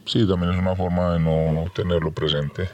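A man with a Colombian Spanish accent and an extremely low, deep voice. He answers plainly and tersely, with little flourish. His tone stays flat and matter-of-fact. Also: moderate pace, dull audio. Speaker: interviewee. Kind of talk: interview answers.

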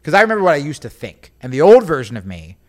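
Animated joking male podcast host. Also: a little high, quick pace. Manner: animated, joking, acts out quotes. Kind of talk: casual comedic chat.

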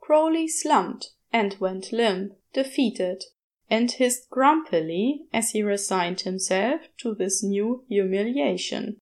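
A woman narrating a scripted story in a measured, expressive storytelling manner. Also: mid-range voice. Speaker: podfic narrator.

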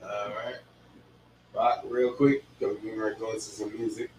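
A man's voice: fairly low pitch, little pitch movement, unhurried, darkish tone, slight background noise. A man hosting a casual late-night talk in a slangy, preachy way. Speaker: host. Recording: roomy, distant mic, music behind.

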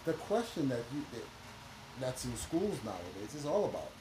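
A man speaking as a podcast guest, emphatic and preachy, filling his talk with "you know". His voice sits at a middle pitch. The recording is echoey, with steady background noise behind him. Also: moderate pace. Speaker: guest minister and educator. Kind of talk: conversational podcast interview.

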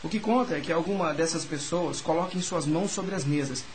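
A man acting in a radio drama as a gossipy, mildly grumbling Parisian gentleman who explains things in formal old-fashioned words. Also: fairly high pitch, fairly quick, over a noisy crowd, lightly acted, low-quality recording. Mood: knowing, explanatory.

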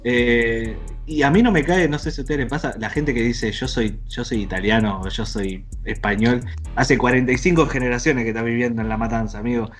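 A man's voice: mid-range voice, brisk, faint music behind. A man with a Rioplatense Spanish accent hosting a podcast, rambling and joking in casual chat. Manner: rambling, joking, lively with frequent laughs. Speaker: podcast host.

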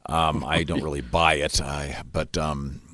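A man's voice: extremely low pitch, steady tone, a little slow, bright, forceful tone, no echo. A man hosting morning radio in casual banter, laid-back and hesitant with many fillers, on a studio mic. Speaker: radio show host. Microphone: studio microphone.

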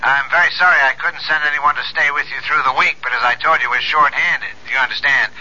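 An actor playing a businesslike, reassuring police lieutenant over a telephone in a 1970s radio drama. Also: male voice, a little high, fairly even intonation, brisk, sharp tone. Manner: businesslike, matter-of-fact. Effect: telephone filter. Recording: tinny, dull telephone-filtered radio recording.

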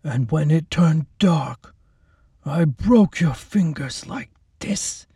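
A man's voice: fairly high pitch, slow, mellow, husky, no echo, clean studio sound, distant mic, voice pushed up, full character voice. A man voicing a brutal, hulking male orderly with a thick voice who talks in a gloating, leering way. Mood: sadistic.

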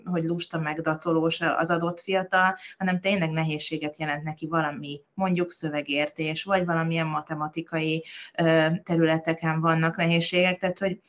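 A woman child psychologist explaining in a conversational podcast, pausing often with fillers and restarts. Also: low-pitched, a bit bright, muffled recording. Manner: thoughtful, many hesitations and restarts.

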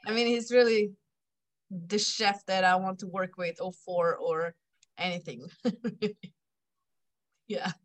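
A woman's voice: lowish voice, steady tone, takes it slowly, pauses often. Chatty Swiss woman chef. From Switzerland. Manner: chatty, laughs often, fillers like "you know". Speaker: chef, interview guest. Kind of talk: casual interview.

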